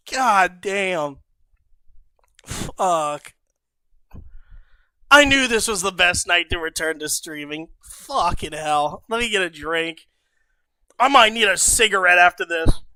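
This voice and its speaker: Bright, lively, crude-talking streaming man. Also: high voice, takes it slowly, long pauses, smooth, no room sound. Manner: swears constantly, breaks into loud laughter and shouts. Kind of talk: crude casual reaction commentary.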